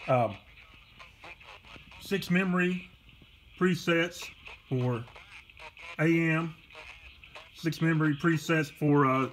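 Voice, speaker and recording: A man giving a casual, unscripted product demonstration in a halting, plainspoken way, recorded at home on a handheld camera. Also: a little high, fairly bright tone, a little hiss or hum, poor audio. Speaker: online seller demonstrating a car stereo. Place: carpeted room at home. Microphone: handheld camera behind the lens.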